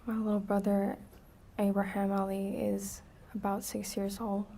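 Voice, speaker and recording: A soft-spoken young woman from Iraq answers in short, quiet replies from the witness stand. Her delivery stays flat and her tone mellow, with faint noise behind her. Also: medium pitch, a little slow.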